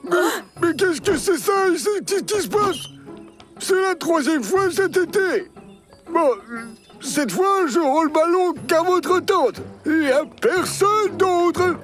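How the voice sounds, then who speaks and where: Cartoon grandpa: elderly, grumpy, flustered, very high voice, swooping intonation, music behind. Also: male voice, lively intonation, takes it slowly, exaggerated voice. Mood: indignant. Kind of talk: animated children's series dubbing.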